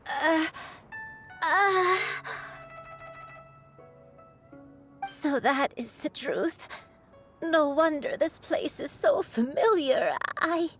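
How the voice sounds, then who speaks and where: A woman voicing a gentle elderly grandmother, soft and apologetic as her memories return. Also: sits high, animated, slow pace, faint music behind, full character voice. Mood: shaken.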